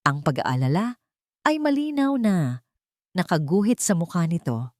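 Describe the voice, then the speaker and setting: A woman's voice: fairly low pitch, very animated, unhurried, no echo, studio quality. A woman reading a scripted romance story as a dramatic narrator.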